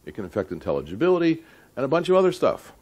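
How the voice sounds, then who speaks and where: A middle-aged man lecturing at a seminar in a deliberate, emphatic but conversational way, often checking in with his listeners. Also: medium pitch, wildly swooping pitch, darkish tone. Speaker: audio engineering lecturer.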